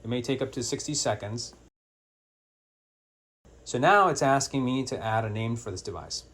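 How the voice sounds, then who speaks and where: A man's voice: mid-range voice, normal speed, fairly bright tone. A man presenting an informal step-by-step product tutorial in a plain-spoken, hands-on, instructional way.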